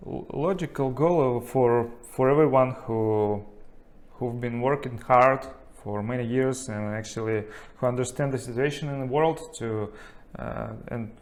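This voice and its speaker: Slow, halting male interviewer. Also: medium pitch. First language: not English. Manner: slow, searching for words, many fillers. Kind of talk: interview questions.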